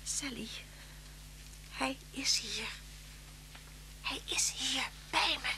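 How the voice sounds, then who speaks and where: A woman's voice: high voice, extremely slow, pushed and bright, exaggerated voice. An actress playing a frightened, faltering woman in a Dutch radio play. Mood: terrified. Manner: faltering, whispering when afraid. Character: frightened woman alone at home with suspected killer. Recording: humming, noisy old radio recording.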